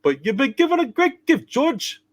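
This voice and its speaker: A man's voice: very high-pitched, big pitch swings, moderate pace. A man voicing a chirpy, scolding guardian angel in a hammed-up, giggly amateur play reading. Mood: preachy. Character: chirpy, scolding male guardian angel, high-voiced and gleeful.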